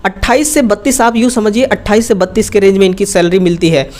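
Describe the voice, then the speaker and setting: A woman's voice: medium pitch, steady tone, fast, a little mellow. Woman teaching in a chatty, informal way. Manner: colloquial, chatty, frequent check-in tags. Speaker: exam-prep teacher. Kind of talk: informal explanatory online lesson.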